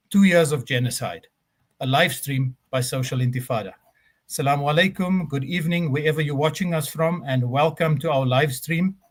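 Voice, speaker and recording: A man with a fairly high voice hosts a live stream. He delivers a rhetorical opening with repeated phrases, then talks more loosely with ums as he introduces his guests. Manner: fillers and uh, um in unscripted talk. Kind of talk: delivering a scripted opening speech, then hosting.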